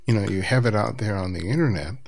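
Male podcast host, low, gravelly, thoughtful, stammers into questions. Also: fast talker, a little mellow. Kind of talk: interview questions.

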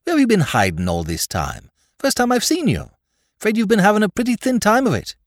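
A man voicing a jovial, glib upper-class gentleman who chatters in chummy slang. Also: sits high, huge pitch swings, brisk, no room sound, clean studio sound, pitched above the performer's own voice. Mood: cheery, concerned.

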